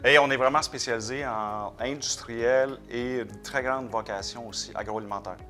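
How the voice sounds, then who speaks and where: A man's voice: mid-range voice, fairly even intonation, moderate pace, bright, forceful tone, soft background music. A man with a Québécois French accent, a company president explaining his work in a casual interview, matter-of-fact. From Quebec.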